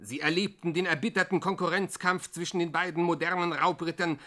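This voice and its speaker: A man's voice: a little high, fairly even intonation, brisk, bright, forceful tone. A man narrating a 1960s radio comedy in grandiose, mock-dramatic, declaimed style.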